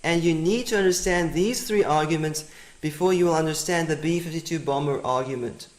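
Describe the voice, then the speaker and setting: High-voiced man, Afrikaans native, roomy echo. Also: a little fast. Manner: methodical, repeats key points. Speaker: presenter. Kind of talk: teaching presentation.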